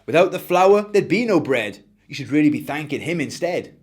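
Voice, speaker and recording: A man's voice: a little high, sing-song, moderate pace, husky. A man voicing a kindly, modest baker in a children's story, in a plain, friendly way.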